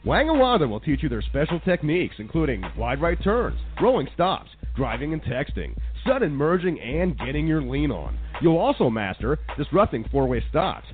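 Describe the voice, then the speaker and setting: A man's voice: big pitch swings, normal speed, hardly any pauses. A man playing an upbeat, earnest commercial announcer in a scripted parody radio ad. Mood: upbeat selling. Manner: earnest salesman pitch. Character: upbeat commercial announcer for dodgy driving school. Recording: tinny lo-fi radio, faint music.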